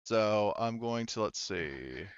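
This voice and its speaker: Smooth-voiced male game master, halting. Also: lowish voice, expressive, no echo, muffled recording. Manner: halting, thinking aloud through rules. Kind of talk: running a tabletop roleplaying game, casual.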